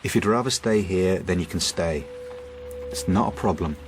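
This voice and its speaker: A man's voice: sits low, moderate pace, background noise, close to an everyday voice. A man playing a kindly, practical butcher in a naturalistic radio drama, speaking in plain, short questioning phrases. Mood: gentle, reassuring.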